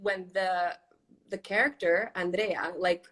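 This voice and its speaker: A woman's voice: lowish voice, fairly even intonation, slow pace, fairly bright tone. A woman who grew up in Miami with Spanish as her first language, chatting expressively and thinking aloud as a guest on a casual live stream. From Miami, USA. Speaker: actress, guest.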